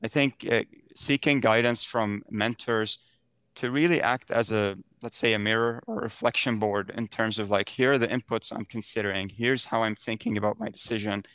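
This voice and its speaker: A man's voice: fairly low pitch, steady tone, moderate pace, fairly bright tone, clean tone, dry recording. A man from the Middle East giving conversational, explanatory interview answers as a podcast guest. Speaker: podcast guest, software engineering director.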